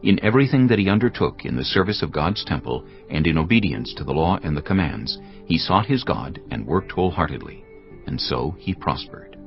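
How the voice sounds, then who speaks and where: A man giving a solemn, measured, scripted narration of scripture as part of a dramatised audio production. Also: deep voice, expressive, highs cut off. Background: music.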